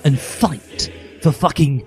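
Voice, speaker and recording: A man voicing a furious, self-righteous teenage revolutionary who rants in an overwrought, shouty way in a comic story reading. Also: a little high, takes it slowly, voice pushed up. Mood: rousing, shouting. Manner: shouty, overwrought ranting with swearing. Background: music.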